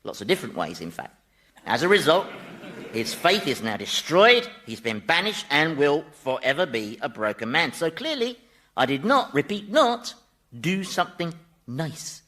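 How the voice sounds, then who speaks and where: An actor playing a mischievous, wry Satan, chatty and mock-indignant, in radio sitcom style. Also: male voice, on the high side, big pitch swings, moderate pace, pushed and bright. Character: Satan, gleeful mischievous male ruler of Hell. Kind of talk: radio sitcom acting before an audience.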